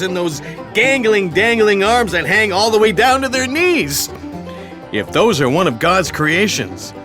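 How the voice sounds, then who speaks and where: A man playing a glib, squeamish shapeshifting alien in a comedic audio drama, chatty and fussy. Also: sits high. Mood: disdainful, fussy. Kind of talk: comedic audio theatre acting. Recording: music behind the voice.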